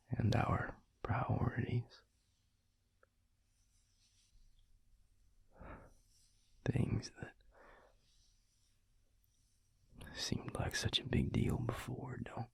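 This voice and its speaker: A man playing a tender, weary vampire lover in an intimate audio roleplay, speaking haltingly in a hushed, breathy, gravelly voice. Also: medium pitch, heavily acted. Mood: tender, earnest. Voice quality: breathy, husky, gravelly half-whisper. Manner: hushed, halting, with long pauses.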